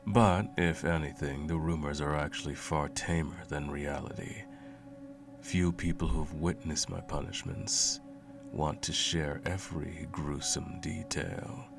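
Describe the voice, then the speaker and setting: A man playing a cruel, smug, all-powerful sorcerer in an ASMR roleplay, with a slow, condescending, menacing drawl and a gravelly voice. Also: a bass voice, fairly even intonation, exaggerated voice. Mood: sadistic, gloating. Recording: music playing under the voice. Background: music.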